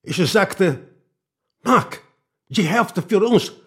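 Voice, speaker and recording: An actor playing an indignant old former revolutionary in a radio drama, scornful and emphatic. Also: male voice, high voice, lively intonation, extremely slow, fairly bright tone, full character voice. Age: older. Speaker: murder suspect.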